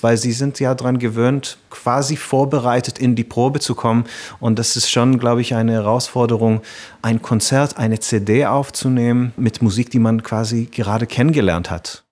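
A man's voice: medium pitch, darkish tone. A man from Israel, a guitarist and ensemble director, explaining his musical programme thoughtfully and at length in an interview. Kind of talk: interview answers.

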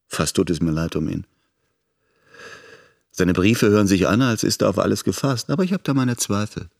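A man playing a smug, ruthless political schemer, cool and deliberate in his monologue. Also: low voice. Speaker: actor in a radio drama. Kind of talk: dramatic first-person monologue.

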